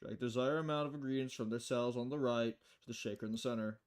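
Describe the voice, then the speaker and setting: A performer voicing a calm, dry male bartender who patiently explains things in matter-of-fact visual novel dialogue. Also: medium pitch, fast, clean tone, natural voice. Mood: patient, instructive.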